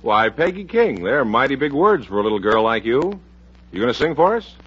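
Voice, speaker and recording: An American man hosting a vintage radio variety show, delivering scripted patter as an announcer with a husky voice. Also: mid-range voice, sing-song, brisk. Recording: dull vintage radio recording.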